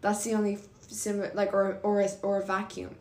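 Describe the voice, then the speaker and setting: A woman's voice: mid-range voice, a little fast. Chatty young woman in a roomy room. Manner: animated storyteller, many fillers. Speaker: podcast co-host. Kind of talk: casual chat. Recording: roomy echo.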